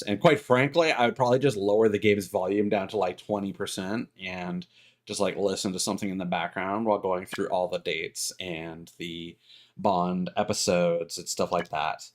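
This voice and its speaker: A man giving casual, rambling and candid live-stream commentary as a game streamer, with a dryly critical manner. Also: on the low side.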